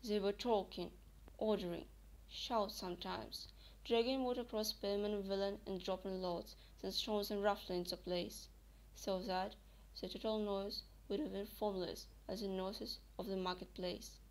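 Mid-pitched young woman reading aloud. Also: fairly even intonation, unhurried, a little mellow, low-quality recording. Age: young adult. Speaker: student reader. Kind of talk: reading literary prose aloud. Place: dim indoor room.

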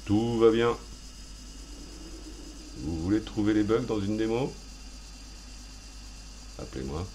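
A man's voice: fairly low pitch, animated, slow pace, warm tone, a little hiss or hum. A man hosting a gaming video, rambling through self-mocking, playful commentary as he plays. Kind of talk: joking let's play commentary.

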